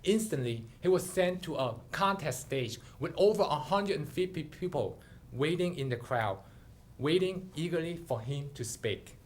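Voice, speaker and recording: A man giving a dramatic storytelling speech, with theatrical, deliberate phrasing. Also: a little high, expressive. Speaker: club speaker telling a story.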